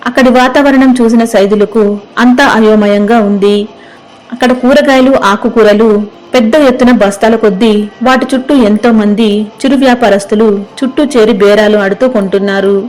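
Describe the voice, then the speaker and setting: A woman's voice: fairly high pitch, fairly even intonation, normal speed, darkish tone, poor audio. A woman storyteller reading a scripted moral story as narrator.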